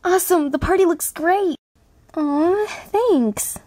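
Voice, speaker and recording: A woman voicing excitable, fashion-loving teenage monster girls from a cartoon in a perky, gushing manner. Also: very high-pitched, takes it slowly, no room sound, pitched above the performer's own voice. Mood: perky, excited.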